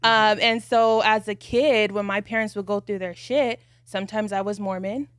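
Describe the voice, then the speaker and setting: Woman podcast co-host, dry close sound, reflective measured storyteller, mid-pitched. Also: steady tone, a bit bright, no room sound. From United States. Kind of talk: casual podcast chat.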